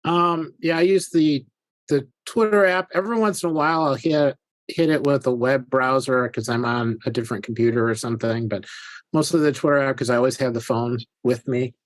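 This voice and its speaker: A man's voice: mid-range voice, fairly quick, no echo. A man speaking as a guest on a casual panel over a video call, explaining at length in a chatty way.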